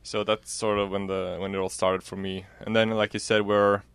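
Young man, Norwegian accent, even delivery, smooth voice, casual interview guest. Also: lowish voice, moderate pace, dry recording. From Norway. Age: young adult. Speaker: student athlete, interview guest.

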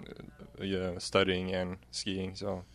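A man's voice: low voice, monotone, takes it slowly, fairly bright tone. A young man with a Norwegian accent giving casual answers as an interview guest. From Norway. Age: young adult. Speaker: student athlete, interview guest.

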